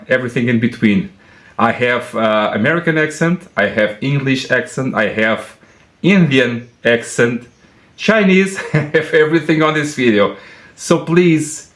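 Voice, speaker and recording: Portuguese guy in his workshop, stops and restarts a lot, kinda echoey. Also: medium pitch. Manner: halting, many restarts and fillers, earnest. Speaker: car mechanic and channel host. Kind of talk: apologetic casual vlog talk. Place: car workshop.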